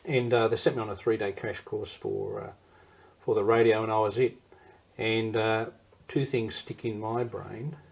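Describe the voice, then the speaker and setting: A man's voice: lowish voice, fairly even intonation, moderate pace, gravelly, a little mellow, breathy edge. An older man with an Australian accent telling war memories in an interview, in a rambling, anecdotal way that wanders off and circles back. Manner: rambling anecdotal, digresses and restarts. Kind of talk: recorded interview storytelling.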